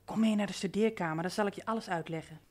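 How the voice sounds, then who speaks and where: An actress playing a familiar, possessive housemaid with a cajoling, intimate manner in a radio drama. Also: female voice, medium pitch, quick pace, a little mellow, close to an everyday voice. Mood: coaxing.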